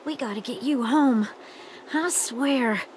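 A woman's voice: fairly high pitch, slow, slight background noise. A woman playing a caring, protective young woman in a video game drama, with a folksy, fussing manner. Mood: caring, relieved.

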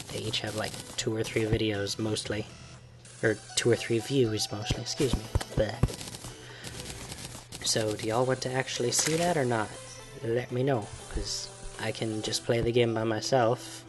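A man, sick and croaky, casually giving a channel update as a gaming host. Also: medium pitch. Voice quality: creaky. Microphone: desktop microphone.

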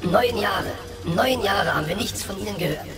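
A man's voice: sits high, expressive, moderate pace, distant mic, over loud music. A man playing a weakened, pleading captive in a radio drama. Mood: distressed.

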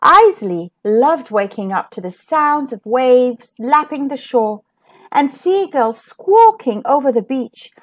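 A woman reading a children's picture book aloud as a storyteller, with expressive delivery that draws out and stresses key words. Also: high-pitched, slow. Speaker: storyteller, host of a children's read-aloud show.

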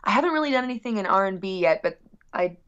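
A woman's voice: mid-range voice, expressive, fast, fairly bright tone, highs cut off. A woman guest chatting casually in an interview about her work as a musician, rambling expressively with frequent asides.

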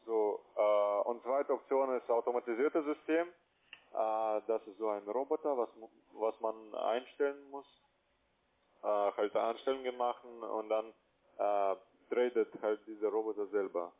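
A man's voice: lowish voice, measured pace. Hesitant male salesman on a phone line. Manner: hesitant, choppy, non-native phrasing in German. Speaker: telephone investment salesman. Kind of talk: halting sales pitch. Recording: thin narrow-band phone line.

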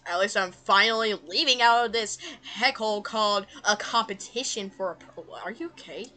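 Bright-voiced young woman goofing through a dub. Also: medium pitch, moderate pace. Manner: giggly, swearing, breaks out of character. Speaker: amateur fan dub performer. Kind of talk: loose improvised comedic cartoon fan dub.

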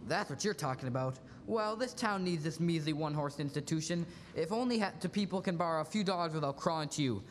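Earnest, restless young man for a vintage radio play male student actor; very lively pitch, stammering, echoey hall with faint hiss. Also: fast, hardly any pauses, lightly acted. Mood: indignant. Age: young adult. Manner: stammering, talkative, emphatic. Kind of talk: live radio play in 1940s manner. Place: large school auditorium stage. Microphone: stage stand microphone.

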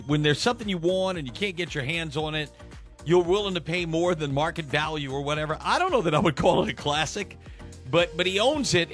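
A middle-aged male radio host with a lively voice that rises and falls a lot. He chats in a casual, self-mocking way, with faint music playing underneath. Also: fairly high pitch. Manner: chatty, self-mocking, animated delivery. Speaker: radio morning show host.

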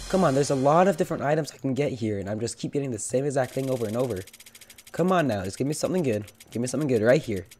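A young man with a hoarse, cracking voice from illness, chatting casually as he opens loot boxes and pleads for better luck. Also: medium pitch, expressive, racing, darkish tone, soft background music. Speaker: gaming channel host. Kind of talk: casual game commentary.

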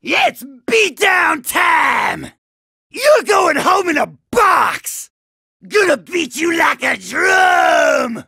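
A manic monster henchman from a video game, yelling in a piercingly sharp, screechy voice. The delivery is slow and drawn out, full of heavy gravel. He comes across as a brash, short-fused bully who loves to taunt. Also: very high voice, sing-song, exaggerated voice. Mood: aggressive taunting. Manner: wild, taunting, slangy. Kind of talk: exaggerated video game villain acting.

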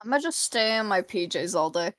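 A woman's voice: mid-range voice, animated, a little fast, a bit bright, no echo. A woman playing a game with a friend, laid-back and giggly, chatting casually. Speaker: co-player. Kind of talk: casual gameplay chat.

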